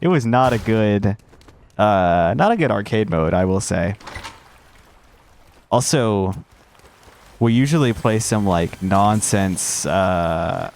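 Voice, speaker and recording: A man's voice: on the low side, lively intonation, slow pace, pauses often, darkish tone, slight background noise. A young man with a congested voice, chatting in a loose, rambling way while commenting on his gameplay. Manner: rambling and self-interrupting, drops slang. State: congested, recovering from a cold. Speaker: gaming streamer.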